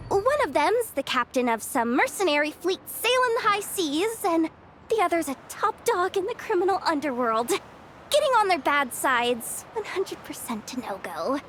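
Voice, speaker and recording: A woman's voice: extremely high pitch, big pitch swings, normal speed, a bit bright, slight background noise, exaggerated voice. A woman voicing a frazzled, busy young expo organizer in a video game, talking in casual slang with stammers and sighs. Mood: anxious, warning. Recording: music behind the voice.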